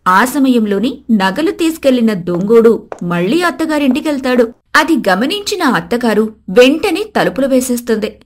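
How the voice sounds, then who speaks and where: High-voiced woman storyteller. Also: big pitch swings, normal speed. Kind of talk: scripted story narration.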